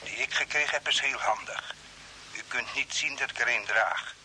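Sharp-voiced mysterious stranded Earthman. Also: medium pitch, normal speed, poor audio. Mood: matter-of-fact. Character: mysterious man from Earth stranded on Mars, calmly insistent. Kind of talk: radio play acting. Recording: hissy old radio broadcast, faint noise.

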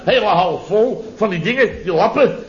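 A man's voice: high voice, animated, moderate pace. An actor playing a boastful, indignant contractor in his fifties in a radio comedy, repeating himself and exclaiming self-importantly. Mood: exasperated. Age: 50s. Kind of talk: 1970s radio comedy acting. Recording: dull vintage radio recording.

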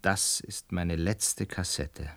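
An actor in a radio play as a lonely, distraught male lodger, talking haltingly and broodingly into a cassette recorder. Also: sits low, heavy background noise. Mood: wistful, weary. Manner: halting, brooding, swinging from murmurs to anguished cries. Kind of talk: dramatic radio play monologue.

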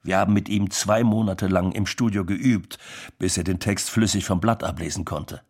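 A man playing a matter-of-fact record producer in a radio drama, reminiscing conversationally in a husky voice. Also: deep voice, fairly even intonation, normal speed, a little mellow. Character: matter-of-fact male record producer recalling a young singer.

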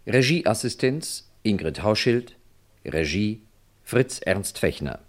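A male radio announcer reads out credits in a formal, neutral manner. His voice is on the low side and his delivery is very slow and measured. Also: expressive.

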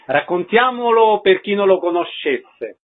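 A man hosting a radio programme, reading announcements and putting questions in a measured announcer's manner. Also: sits high, expressive, narrow, tinny audio. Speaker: radio host. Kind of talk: scripted radio announcing and interviewing.

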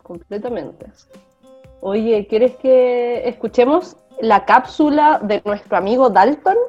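A woman's voice: fairly high pitch, slow. A woman with a Chilean accent chatting casually as a podcast co-host. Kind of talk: casual chat. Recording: dull lo-fi audio, music bed.

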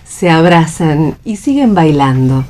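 A woman's voice: low-pitched, sing-song, a little slow, mellow. A woman reading stage directions as the narrator of a radio play.

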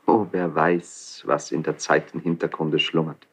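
An actor playing an anguished, bitter young crown prince in a classical German radio drama, theatrical and impassioned, with a husky, breathy voice. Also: male voice, rumbling low, little pitch movement, measured pace, a bit bright, full character voice. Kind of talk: 1970s German radio play, classical stage acting.

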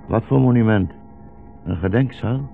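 A man's voice: fairly low pitch, moderate pace, vocal fry, soft background music. A man playing an old blind rabbi, weary and sardonic, with ironic, rhetorical turns, in a 1960s radio drama. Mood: weary, wry. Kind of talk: 1960s radio play acting. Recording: muffled, noisy 1960s radio recording.

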